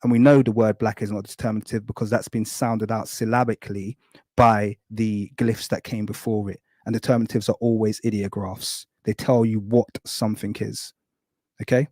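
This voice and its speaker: A man lectures carefully on a live stream, walking through one point after another and promising to be quick. His voice is mellow and soft-edged. The sound is dry and close. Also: lowish voice, little pitch movement, no echo. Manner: thorough, apologetic, keeps saying really quickly. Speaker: guest explaining hieroglyphs.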